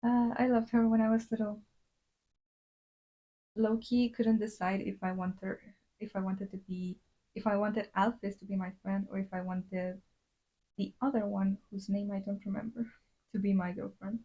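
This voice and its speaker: A woman's voice: mid-range voice, little pitch movement, normal speed, pauses often, muffled recording. A young woman from Latin America, chatting casually on a drawing livestream and rambling with many fillers and restarts. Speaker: streamer.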